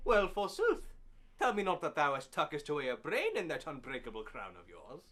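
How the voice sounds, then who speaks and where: A man's voice: on the high side, huge pitch swings, normal speed, fairly bright tone, full character voice. A man playing a jolly, teasing storybook outlaw hero in flowery mock-archaic speech for a radio comedy. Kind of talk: 1940s radio comedy acting.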